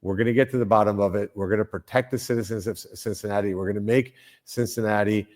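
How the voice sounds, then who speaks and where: A man's voice: fairly low pitch, steady tone, fast, darkish tone. An older man from Colombia, a senator speaking as a guest in a political interview, emphatic, with frequent restarts and repeated phrases.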